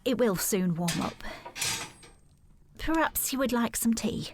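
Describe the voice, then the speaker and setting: High-voiced, formal housekeeper. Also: female voice, lively intonation, takes it slowly, noisy background. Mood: uneasy, courteous. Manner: polite, hesitant, formal. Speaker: actress playing a housekeeper. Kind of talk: period audio drama acting.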